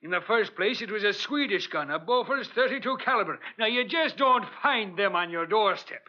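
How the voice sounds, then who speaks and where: An actor playing a gruff, sarcastic, suspicious police lieutenant with slangy, wisecracking talk in an old-time radio detective drama. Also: male voice, high voice, animated, normal speed. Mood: gruff, blunt. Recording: dull old radio recording.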